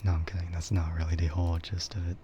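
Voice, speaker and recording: Male streamer, deep and unhurried, for soft, meandering ASMR chat; dull lo-fi sound. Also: little pitch movement. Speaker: VTuber streamer. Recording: dull lo-fi microphone with faint noise.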